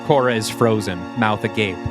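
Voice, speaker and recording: A man's voice: lowish voice, normal speed, over music. A man reading scripted, present-tense narration for an audio play as its narrator.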